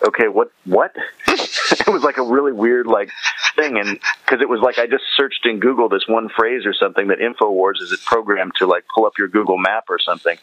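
A man's voice: fairly low pitch, dry recording, lo-fi sound. A man chatting casually as a podcast guest, slangy and often chuckling as he retells things. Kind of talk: casual chat, animated retelling.

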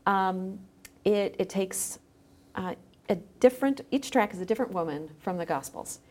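A woman speaking as a podcast guest, explaining her creative work in casual conversation with halting phrasing. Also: mid-range voice, expressive. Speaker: podcast guest, musician and educator.

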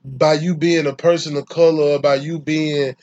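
A man's voice: fairly high pitch, level tone, moderate pace, darkish tone, dull audio. Profane Atlanta man with a raised voice. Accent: Southern US (Atlanta). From Atlanta, Georgia, US. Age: young adult. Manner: profane, rambling, emphatic. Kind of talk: casual conversation.

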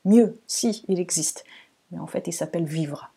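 A woman from Portugal with a Portuguese accent, speaking French as a second language in a reflective, measured podcast monologue, pausing between phrases. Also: on the low side, gravelly, warm tone, slightly rough. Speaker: podcast host and astrologer.

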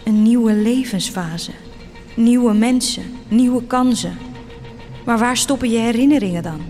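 A woman's voice: fairly high pitch, measured pace, mellow, natural voice. Actress as teenage girl, first-person audio drama narration, yearning, prominent music underneath. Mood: troubled, questioning.

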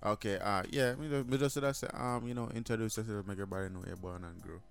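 A man hosts a casual show in a low, laid-back voice, full of slang. Music plays clearly behind him. He greets callers with loud hype. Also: fast talker. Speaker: radio show host.

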